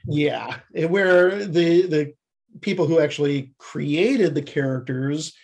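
Husky guy, voice a bit high, podcast guest telling stories and acting out the dialogue. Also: takes it slowly, darkish tone. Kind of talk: conversational interview storytelling.

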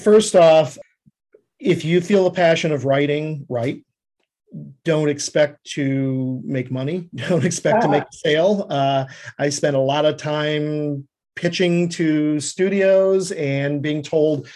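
Higher-voiced man in casual interview. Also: slow pace, a little mellow. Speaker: screenwriter and producer, podcast guest.

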